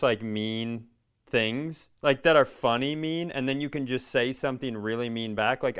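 A man's voice: mid-range voice, fairly bright tone, clean tone. A man co-hosting a comedy podcast with casual, crude, joking banter, often repeating phrases as he riffs. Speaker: podcast co-host.

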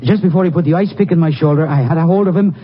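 An actor playing an anxious male crime victim in a 1950s western radio drama, speaking in a halting, earnest way. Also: on the high side, close to an everyday voice. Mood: remembering, earnest. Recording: muffled, faintly noisy vintage radio recording.

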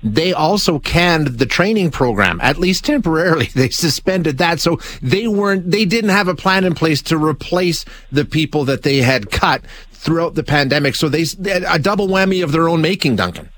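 A man's voice: a little high, lively intonation, moderate pace, hardly any pauses, a bit bright. A man hosting a conversational radio interview in an emphatic way.

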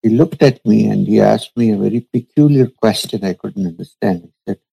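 A man, a spiritual teacher, telling a story from his past in a slow, measured, reflective way during an interview. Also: fairly low pitch, gravelly, dark tone, husky, poor audio. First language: Urdu.

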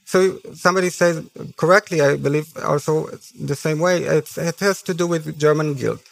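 Higher-voiced man with an Israeli accent. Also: moderate pace, vocal fry, poor audio. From Haifa, Israel. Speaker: panel speaker. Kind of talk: personal public speech at a conference. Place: on stage at a conference.

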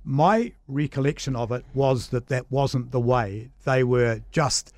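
A man's voice: mid-range voice, a little slow, darkish tone, no room sound. A man hosting a radio political panel, plainspoken and conversational. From Samoa. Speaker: radio host.

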